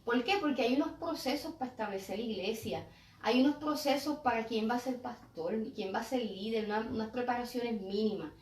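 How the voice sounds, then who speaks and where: A woman with a Puerto Rican accent takes part in a conversational discussion. Her voice sits fairly high. The recording is roomy and echoey, with her some way from the microphone. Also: brisk, darkish tone. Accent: Puerto Rican Spanish. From Puerto Rico. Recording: echoey room, distant microphone.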